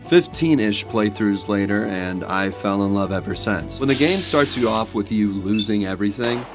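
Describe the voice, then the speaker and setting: A man's voice: lowish voice, moderate pace. A man hosting a video essay, reading reflective scripted narration in a casual, sweary way. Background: music.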